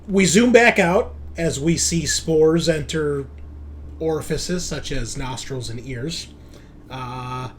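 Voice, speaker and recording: A man's voice: mid-range voice, a little hiss or hum. A man narrating a tabletop sci-fi horror game as game master, in a casual, sweary way.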